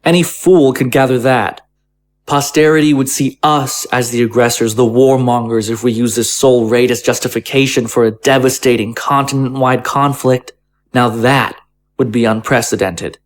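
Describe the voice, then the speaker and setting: A man's voice: medium pitch, fairly even intonation, moderate pace, natural voice. Formal, persuasive male politician, acted. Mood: argumentative. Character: smooth-talking, conciliatory male government official, argumentative. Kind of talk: audio drama acting.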